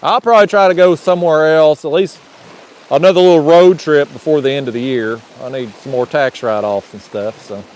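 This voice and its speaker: A man's voice: a little high, moderate pace, a little mellow. A man hosting a casual livestream outdoors on a windy lake bank, laid-back and joking. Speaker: livestream host.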